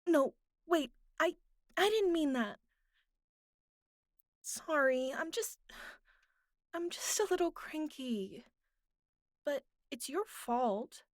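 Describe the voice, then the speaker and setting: A woman playing a bratty but vulnerable young captive in an intimate audio roleplay, speaking haltingly with pleading, pouty turns and soft breathy asides. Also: high voice, animated, no echo, clean studio sound. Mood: cranky, pleading.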